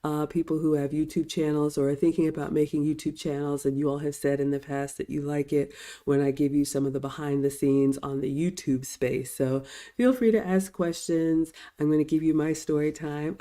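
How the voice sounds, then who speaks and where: A middle-aged woman with a slightly raspy voice, chatting casually on a livestream as she reads out viewer comments, still getting over a cold. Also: low-pitched, dark tone. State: recovering from a cold. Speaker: livestream host and etiquette teacher.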